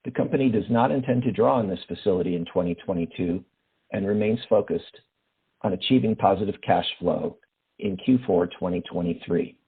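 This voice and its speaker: Raspy low guy reading finance numbers steady and formal, phone call sound. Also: brisk. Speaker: finance executive. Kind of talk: scripted financial report. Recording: phone line.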